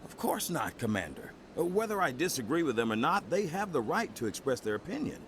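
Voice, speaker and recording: An actor playing an earnest, smooth nationalist politician in a video game, formal and rhetorical. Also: male voice, fairly high pitch, very animated, a little fast, a bit bright, slight background noise. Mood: defensive, firm. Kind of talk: video game dialogue acting.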